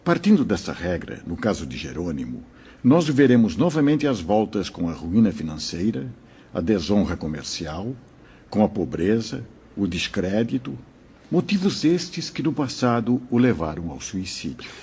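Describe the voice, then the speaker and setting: A wise old spirit teacher, voiced by a man, with a very breathy, husky voice and a warm, darkish tone. He teaches calmly and paternally, his low voice rising and falling with lively intonation over a slightly dull, lo-fi sound. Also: normal speed, heavily acted. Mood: didactic. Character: wise elderly male spirit mentor. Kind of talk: audio drama acting.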